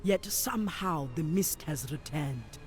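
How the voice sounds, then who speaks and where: Measured, solemn warrior priestess. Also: female voice, low voice, expressive. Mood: grave. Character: calm devout warrior priestess of a sea goddess. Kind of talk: dramatic video game acting. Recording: music under the voice.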